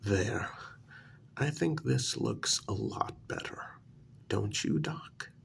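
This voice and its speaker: A man playing an eerily calm asylum patient in a soft ASMR role-play, breathy and gravelly, with a measured, hesitant, quietly condescending delivery. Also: on the low side, full character voice. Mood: smug, calm. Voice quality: gravelly, breathy, half-whispered. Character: eerily calm male asylum patient who believes he creates reality.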